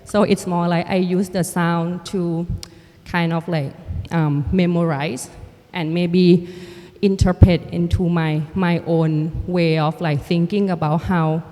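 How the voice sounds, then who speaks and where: A Thai woman artist and filmmaker, a native Thai speaker, talking reflectively in a halting way with many restarts and fillers. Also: lowish voice, steady tone, mellow. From Thailand. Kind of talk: reflective conversation. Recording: hall with long reverb.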